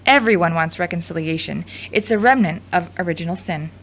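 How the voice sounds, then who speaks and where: A woman playing an earnest, deferential reporter in an audio drama, speaking in a measured, formal way. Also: on the low side, fairly bright tone, low-quality recording.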